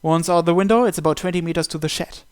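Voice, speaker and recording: A man reading a visual novel aloud in an expressive, dramatic first-person narration with occasional stumbles. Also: a little high, quick pace, clean tone, dry recording.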